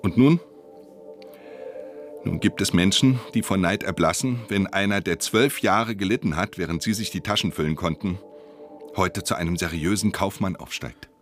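A man acting an agitated low-voiced official. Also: moderate pace, soft background music, lightly acted. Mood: indignant, emphatic. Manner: emphatic, indignant, rhetorical. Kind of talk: German radio play acting.

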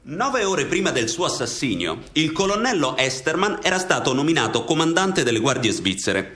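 A man reading scripted narration for a radio drama in a formal, measured way. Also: mid-range voice, fairly bright tone.

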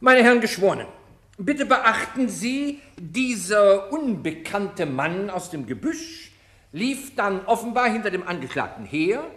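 A haughty male prosecutor in a radio courtroom drama. His high voice swings widely in pitch as he lays on biting irony and theatrical, rhetorical pauses. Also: big pitch swings, a bit bright, heavily acted. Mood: mocking. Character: sarcastic, haughty male public prosecutor hounding the accused. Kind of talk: German radio crime play acting, courtroom oratory.

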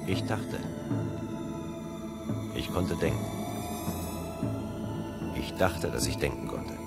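A very deep, gravelly inner voice. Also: male voice, expressive, slow pace, full character voice. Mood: dreamy, detached. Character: introspective man adrift in a science fiction dream trip. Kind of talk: radio drama inner monologue. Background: loud eerie music.

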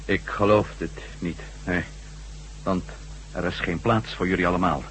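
A captive ex-astronaut in a radio drama. His low, deep voice gives terse, compliant, subdued answers, with faint hum and noise in the recording. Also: steady tone, moderate pace, fairly bright tone, rough recording. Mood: subdued, matter-of-fact. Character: captured male ex-astronaut, subdued, obediently answering. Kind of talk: Dutch radio drama acting.

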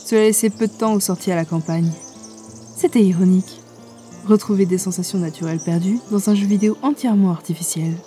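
A woman playing a novice ranger who tells her own adventure in a fantasy audio drama, measured and a little wry. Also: mid-range voice, mellow, natural voice. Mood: curious, reflective. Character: young female ranger, novice gamer, wry and curious narrator. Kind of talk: first-person audio drama narration.

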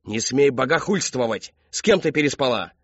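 A man's voice: deep voice, steady tone, a little slow, darkish tone, dry recording, lo-fi sound. A man voicing a furious, domineering middle-aged father in an audiobook, barking accusing demands. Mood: enraged.

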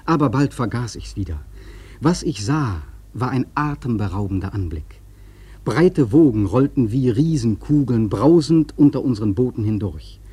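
Casting: seafaring storyteller for radio drama, soft mellow husky tone, intense and evocative delivery. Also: male voice, mid-range voice, animated, normal speed, dry recording. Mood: brooding. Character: awestruck young whaleman sailor recalling the hunt. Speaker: narrator. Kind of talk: dramatic literary radio-play narration.

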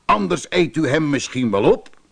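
A man's voice: on the high side, normal speed, vocal fry, slightly rough, pitched above the performer's own voice, exaggerated voice. Een man die een slimme rijstboer in een sprookje speelt, onderdanig beleefd en listig. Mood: persuasive. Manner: deferential, polite, calmly cunning. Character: shrewd rice farmer, humbly courteous to a tiger. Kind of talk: storybook character voice.

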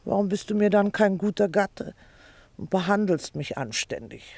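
Scolding wife, indignant, self-pitying, theatrical radio drama, gravelly creak, dry close sound. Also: female voice, mid-range voice, lively intonation, moderate pace, a little mellow, no room sound. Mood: scornful, scolding. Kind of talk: theatrical German radio play acting.

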